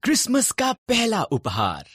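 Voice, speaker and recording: A narrator telling scripted Bible stories for children in a deliberate storytelling delivery. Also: medium pitch, wildly swooping pitch, a bit bright, breathy edge, no room sound, clean studio sound. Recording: background music under the narration. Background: music.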